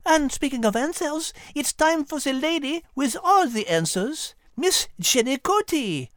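A woman's voice: high voice, animated, a little slow, no echo, clean studio sound. A woman voicing a polite, curious French character who hosts a story podcast. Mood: bright curiosity. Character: polite, curious, refined French female host. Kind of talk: playful story podcast hosting.

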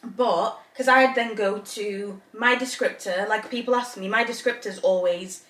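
A woman's voice: mid-range voice, fairly even intonation, unhurried, a bit bright, distant mic. A woman from Zambia co-hosting a podcast, thinking aloud with restarts and easy laughs. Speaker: podcast co-host. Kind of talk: casual chat. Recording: echoey room, voice a little off-mic.

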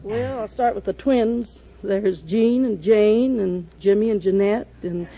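A woman's voice: mid-range voice, measured pace. A middle-aged woman from California answering a host's questions as a contestant on a radio quiz show. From California, United States. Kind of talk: unscripted interview answers. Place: radio studio with live audience. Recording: dull old radio recording, light noise.

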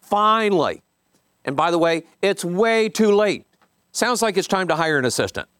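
A man's voice: sits high, lively intonation, normal speed, a bit bright, clean tone, no room sound, clean studio sound. A man giving an informal coaching talk, reading bits from a book and commenting, often repeating points for emphasis and checking in with listeners. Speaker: business coach leading a group session.

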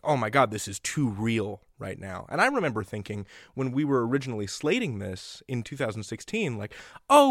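A man's voice: medium pitch, fairly bright tone, no room sound, studio quality. An American man hosting a podcast in a chatty, folksy, emphatic way as he introduces and interviews.

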